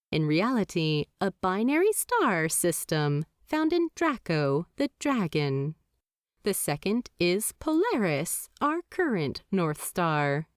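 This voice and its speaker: A woman narrating a fanfiction story in an expressive, measured storybook reading. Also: medium pitch, dry recording, clean studio sound.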